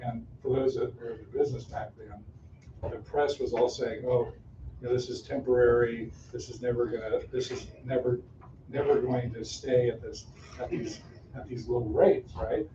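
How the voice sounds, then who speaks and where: A man's voice: medium pitch, level tone, normal speed, warm tone, slight background noise. A man hosting a small meeting, introducing people in a friendly, rambling way and repeating his words. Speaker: meeting host. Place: meeting room. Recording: distant, echoey, lo-fi.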